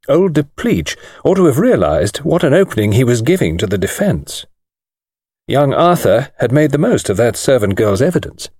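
A man's voice: medium pitch, darkish tone, no echo, clean studio sound. A man with a British accent reading a mystery novel as scripted narration. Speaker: audiobook narrator.

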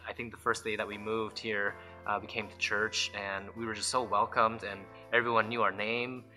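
A man's voice: fairly low pitch, level tone, normal speed, sharp tone, faint music behind, poor audio. A young adult man from Nevada, born in South Korea, sincerely sharing his testimony in an interview, with fillers and small restarts. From Reno, Nevada, born in South Korea. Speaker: church member giving testimony. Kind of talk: interview testimony for a church video.

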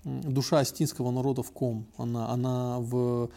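A man's voice: medium pitch, little pitch movement, normal speed, gravelly, dark tone. A man from North Ossetia, a blogger and activist, speaking as a guest on a podcast in a reflective way with many hesitations. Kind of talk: casual discussion.

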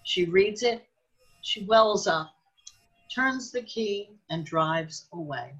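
A woman's voice: mid-range voice, lively intonation, slow pace, husky, muffled recording. A woman reading scripted narration for a radio drama as its storyteller.

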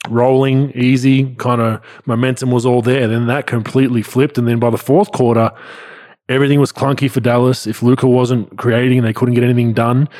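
Male podcast co-host, ex-player, mid-pitched voice, calm, methodical analysis. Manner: measured, analytical breakdown.

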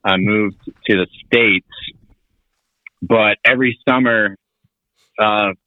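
A man's voice: on the low side, steady tone, slow pace, dry recording. A young man from Finland chatting casually as a podcast guest, rambling and laid-back with many fillers. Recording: tinny phone-like audio.

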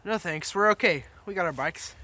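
Man playing a stubborn, bright-voiced defiant young man. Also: high voice, moderate pace. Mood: guarded. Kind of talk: audio drama acting.

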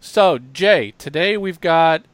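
A man's voice: fairly high pitch, animated, slow, dry recording. A man hosting a music business podcast, upbeat and chatty as he welcomes a guest. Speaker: podcast host. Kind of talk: casual podcast chat.

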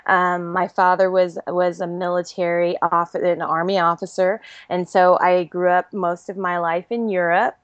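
A woman's voice: lowish voice, little pitch movement, normal speed, hardly any pauses, dry recording, muffled recording. A woman from Germany, a makeup artist guest, chatting casually with laughs and frequent ums over a call. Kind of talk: casual interview chat.

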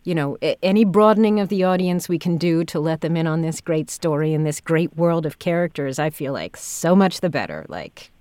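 A woman's voice: deep voice, lively intonation, a little fast, few pauses, dark tone, no room sound. A woman hosting a podcast, chatting casually with her guest in an interview. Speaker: podcast host, audio drama director.

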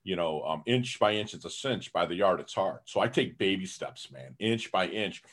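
A man talking as a podcast guest in a casual interview, upbeat and energetic, peppering his talk with "you know". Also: low-pitched, moderate pace.

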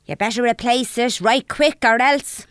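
A woman's voice: fairly high pitch, normal speed, fairly bright tone, clear, resonant tone, no room sound, clean studio sound, lightly acted. A woman acting a scornful, crude older mother in an Irish comedy audio drama, brash and mocking with a Dublin accent.

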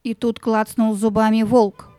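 A woman reading expressive scripted narration for a fairy-tale audio play, with music behind her. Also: a little high, unhurried, smooth, dry recording.

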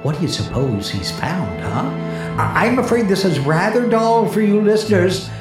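Jumpy, rambling radio disc jockey, swooping pitch. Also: male voice, sing-song, moderate pace. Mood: anxious. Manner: nervous stammering, rambling to himself. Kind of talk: 1940s radio horror acting. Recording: echoey vintage 1940s radio recording with music.

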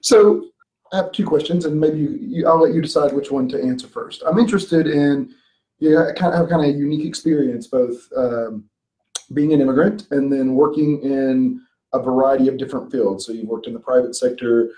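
A man's voice: mid-range voice, fairly quick, warm tone, low-quality recording. A man hosting a podcast interview, chatty and laughing easily, with hesitant ums. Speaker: podcast host. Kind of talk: casual interview.

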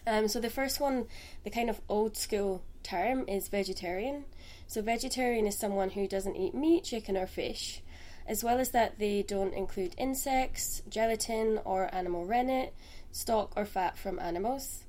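A woman, a dietitian calmly explaining terms as a podcast guest. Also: medium pitch, normal speed. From Scotland.

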